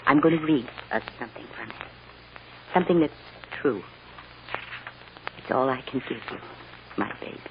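Radio drama mother, sorrowful and loving, hushed halting delivery, muffled vintage recording. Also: very deep voice, background noise. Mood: earnest, loving. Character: tender, sorrowful mother comforting her small daughter. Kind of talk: 1940s radio drama acting.

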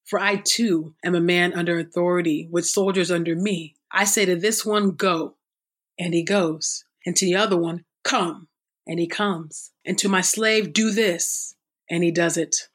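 A woman's voice: fairly low pitch, fairly even intonation, a little slow. A woman reading the words of a Roman centurion, a humble grown man, in a plain, pleading way.

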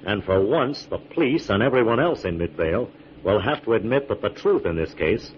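An actor playing a calm, gallant scientist crime-fighter in 1940s radio drama style. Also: male voice, on the low side, a little fast, natural voice. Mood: earnest, explanatory. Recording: muffled, noisy 1940s radio recording.

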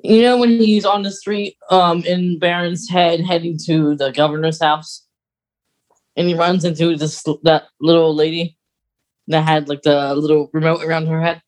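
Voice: medium pitch, flat delivery, normal speed, darkish tone, poor audio. A podcast co-host chatting casually and informally, recapping in a conversational way. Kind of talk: casual chat.

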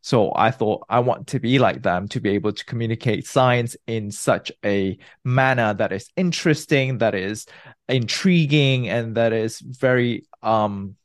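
A man from Malaysia in his thirties, a guest researcher giving measured, explanatory interview answers with a few restarts. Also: medium pitch, no echo, clean studio sound.

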